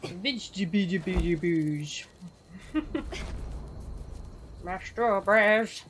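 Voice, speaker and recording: A woman's voice: mid-range voice, drawn-out words, slight background noise. Chatty woman streaming a game. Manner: chatty, rambling, chats with viewers. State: losing her voice. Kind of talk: live gameplay commentary.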